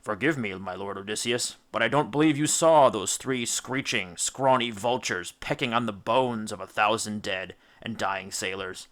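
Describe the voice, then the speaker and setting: A man's voice: lowish voice, normal speed, few pauses, a bit bright, lightly acted. A man voicing a loyal Greek sailor in a storybook, earnest, apologetic and dramatically appalled.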